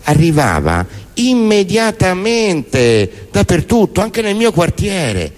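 A man performs theatrical spoken word as a stage monologue, in a deadpan, declamatory manner. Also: sits high, slow pace. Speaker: stage performer reciting poems and prose monologues.

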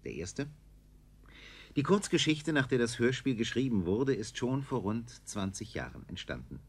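A man presenting on radio, reading a scripted introduction in a measured, formal, literary manner. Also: medium pitch, very animated, breathy edge.

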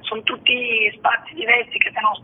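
A man with a husky voice, talking conversationally as an interviewed artistic director over a tinny phone line. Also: very high-pitched, level tone, a little fast.

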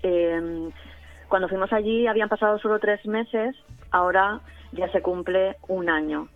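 A woman's voice: mid-range voice, a little slow, dry recording. A woman with an accent from Spain giving conversational interview answers over a phone line. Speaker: radio interview guest, community radio contributor. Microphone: phone call. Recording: thin dry phone line with music behind.

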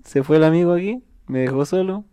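A man's voice: a little high, a little fast, mellow, dry recording, studio quality. A man with a Chilean accent co-hosting a podcast, chatting casually and opinionated about music, joking and laughing often. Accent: Chilean Spanish. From San Antonio, Chile. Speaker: podcast co-host.

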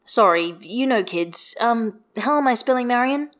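A woman reading the part of a gentle adult man who patiently calms his small daughter in a storybook reading. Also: fairly high pitch, normal speed, voice pushed up. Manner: soft, reassuring. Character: gentle adult male wizard, patient father.

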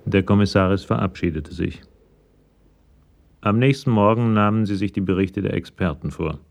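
A man's voice: on the low side, normal speed, creaky. A man narrating a scripted radio crime drama in a matter-of-fact manner.

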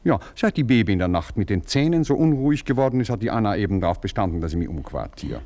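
An ironic young baron, low-voiced. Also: male voice, very animated, fast, darkish tone. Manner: smooth, ironic and evasive. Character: young baron, glib and evasive son. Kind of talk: radio play acting.

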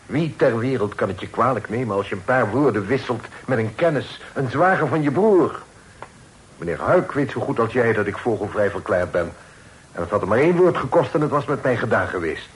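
Man acting insistent outlaw, emphatic, mid-pitched, old radio drama, faint background noise. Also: animated, a little fast, lightly acted, poor audio. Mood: insistent, urgent. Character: bold, insistent outlawed fugitive.

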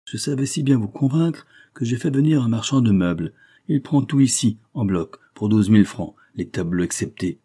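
Man voicing a husky, commanding, shrewd mentor. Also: medium pitch, expressive, normal speed, dark tone, voice pushed up. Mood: brisk, persuasive. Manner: emphatic, peremptory, ironic. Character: brisk, commanding, shrewd male mentor, wryly jovial. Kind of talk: audiobook character dialogue.

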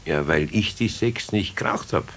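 An actor playing an older master carpenter in a children's radio play, a man with a Bavarian accent, grumbling and gruff, patient but firm. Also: sits low, fairly bright tone, exaggerated voice. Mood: doubtful, muttering. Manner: gruff, unhurried, sceptical.

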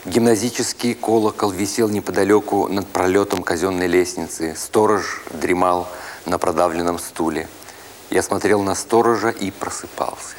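A man reads a first-person literary story as a dramatic narrator, with expressive, deliberate phrasing. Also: deep voice, slight background noise. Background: music.

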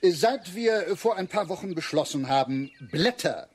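Pompous muddle-headed official, swooping voice. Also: male voice, high voice, lively intonation, measured pace, slight background noise. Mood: smug, self-satisfied. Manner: hesitant, pompous bureaucratic speechifying. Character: smug, muddle-headed male official proposing mad economic policy. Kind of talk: radio play acting.

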